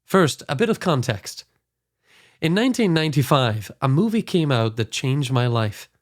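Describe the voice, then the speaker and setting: This is a man in his forties hosting a podcast, his voice a little hoarse. He reads a scripted introduction in an earnest, enthusiastic storytelling manner, at a middling pitch. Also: big pitch swings, normal speed. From Scotland. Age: 40s. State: slightly hoarse. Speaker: podcast host.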